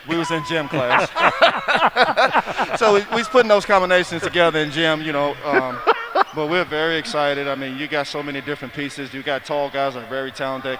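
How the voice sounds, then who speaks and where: A man speaking as an assistant basketball coach in a casual interview, easygoing and informal, with venue noise behind him. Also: a little high. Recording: clear venue background noise.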